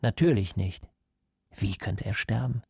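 An actor playing a coldly calm party interrogator in a radio drama, measured and didactic, paternal yet menacing, with a creaky voice. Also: male voice, on the low side, no room sound, clean studio sound, exaggerated voice. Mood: cold, certain.

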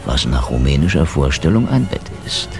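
An actor playing a cynical, hard-drinking KGB investigator in a noir radio drama, sardonic, deadpan and foul-mouthed. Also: male voice, a bass voice, moderate pace, heavily acted. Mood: brooding, cynical. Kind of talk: noir radio drama, first-person narration and dialogue. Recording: music behind the voice. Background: prominent music.

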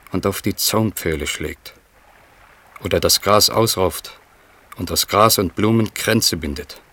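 Deep-voiced, sober, detached chronicler. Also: male voice, full character voice. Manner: measured, clipped phrases. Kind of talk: German radio play narration.

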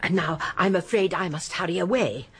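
An actress playing a shrewd, genteel elderly English spinster sleuth in a radio drama, precise and politely firm. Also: female voice, on the low side, normal speed, a little hiss or hum, close to an everyday voice. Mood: knowing, brisk. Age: older. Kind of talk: radio drama acting and narration.

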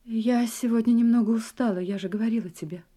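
A woman's voice: on the high side, fairly even intonation, quick pace, dark tone, lightly acted. An actress in a Russian audio drama playing an anxious wife who hides her terror behind sweet domestic composure. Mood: evasive, casual.

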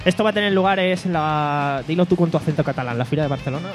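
A man's voice: a little high, quick pace, over music. A man hosting a casual podcast, reading out news and poll results in a playful, joking way. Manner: playful, joking, reads the segments. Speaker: podcast host. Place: studio away from home.

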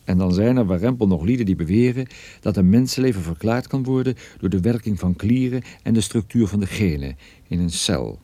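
An actor playing a wry, sardonic male private detective, narrating his own case in a measured radio drama style. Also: fairly low pitch, expressive, mellow, dry recording, close to an everyday voice. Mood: wry, reflective.

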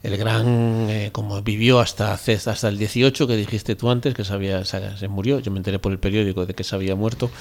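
A man's voice: lowish voice, fairly even intonation, brisk, few pauses, slight background noise. A man co-hosting a casual book discussion, hesitant and often correcting himself as he searches for words.

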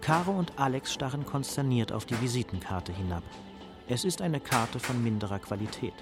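A man narrating a scripted radio drama as a sober literary storyteller, measured and dryly ironic. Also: mid-range voice, animated, music in the background, close to an everyday voice.

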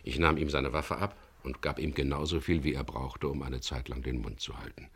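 An actor playing a tough, decisive boat skipper in a radio crime drama, with a gravelly voice. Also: male voice, rumbling low, steady tone, fairly bright tone, exaggerated voice. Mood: grim, recounting. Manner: terse, commanding in dialogue, measured in narration. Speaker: lead actor and first-person narrator of a radio play.

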